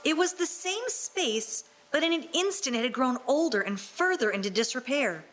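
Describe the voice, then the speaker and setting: A woman's voice: high voice, animated, normal speed, fairly bright tone. A woman narrating a scripted story in a radio-play style.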